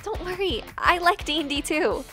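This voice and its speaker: A woman playing a nervous, nerdy teenage schoolgirl with a crush in an animated roleplay series. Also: very high voice, moderate pace, soft background music, heavily acted. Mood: friendly.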